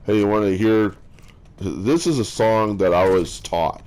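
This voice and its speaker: A man with a strong bass voice, chatting casually and haltingly as a streamer, with lots of fillers and restarts. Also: darkish tone. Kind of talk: casual rambling chat.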